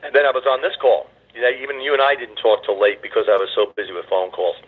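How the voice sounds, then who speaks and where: A hoarse man hosts a conference call over a tinny phone line. He rambles and reassures, dropping in filler uhs as he goes. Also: medium pitch, fast. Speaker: call host.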